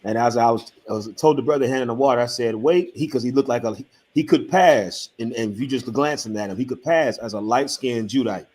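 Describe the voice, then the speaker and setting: A man on an online panel discussion, telling a story with acted-out dialogue and building to forceful emphasis. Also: medium pitch, brisk, few pauses, slight background noise. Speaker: panel member.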